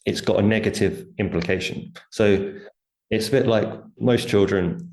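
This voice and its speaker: Deep-voiced man in a roomy recording. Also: steady tone, normal speed, darkish tone. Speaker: interview guest, writer and speaker on money. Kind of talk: conversational explanation in an interview. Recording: roomy, echoing sound.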